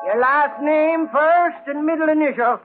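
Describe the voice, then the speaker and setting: A brisk police clerk in an old radio play, very high in pitch. He is clipped and official, as if filling in a form. The recording is narrow and phone-like. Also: over music. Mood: brisk, impatient. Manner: clipped, official, list-reading. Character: brisk, businesslike police questioner taking down details. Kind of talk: 1940s radio drama acting.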